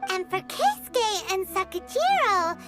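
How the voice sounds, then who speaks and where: A woman voicing a tiny, excitable, childlike companion creature in a video game, squeaky and eager. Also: a little slow, full character voice. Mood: thoughtful. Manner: squeaky, eager, refers to self in third person. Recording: loud music behind the voice.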